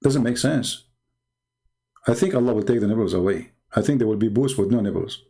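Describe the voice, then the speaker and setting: A man's voice: fairly low pitch, brisk, dark tone, breathy edge. A man from the Middle East, a native Arabic speaker with an Arabic accent, hosting a casual live stream in a sarcastic, mocking way. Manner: sarcastic, mocking, repeats phrases for emphasis. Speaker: live show host and religious apologist.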